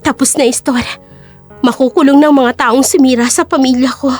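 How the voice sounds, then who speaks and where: A woman's voice: sits high, fairly even intonation, moderate pace, a little mellow, faint music behind. A woman playing a tender, emotional young sweetheart in an audio drama. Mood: relieved. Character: grateful, tearful young female sweetheart, tender and apologetic.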